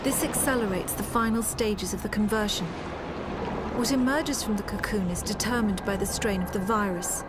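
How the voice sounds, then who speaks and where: A woman playing a serious military officer who recounts a war in measured, matter-of-fact game narration. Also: medium pitch, heavy background noise, close to an everyday voice. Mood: grave, detached. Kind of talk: scripted cinematic game narration.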